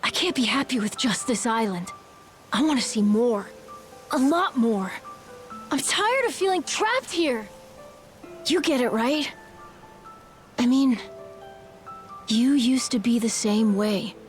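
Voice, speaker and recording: A woman's voice: a little high, expressive, takes it slowly, long pauses, soft background music. A woman voicing an earnest, adventurous young boy who longs for freedom, in a video game. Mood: earnest pleading. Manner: earnest, youthful.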